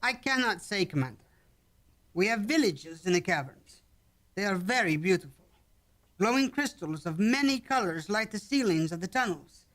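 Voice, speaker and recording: A calm and dignified alien villager , acted for a radio play, speaking in measured, formal phrases. The voice sits at a middling pitch and carries a stately, unhurried manner. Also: lightly acted. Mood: earnest, measured. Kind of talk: radio drama acting.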